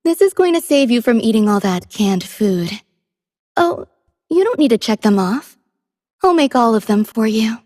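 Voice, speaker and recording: Gentle, cultured young game heroine: devoted, soft-spoken, slightly high voice, composed delivery, dry studio sound. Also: female voice, animated, normal speed, dry recording. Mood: warm, caring. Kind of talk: scripted game character voice lines.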